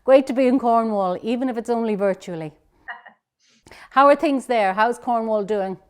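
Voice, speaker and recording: A woman's voice: a little high, moderate pace, darkish tone, clean tone. A woman from Ireland hosting an online interview, asking friendly, leading questions over a video call. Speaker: interviewer and host.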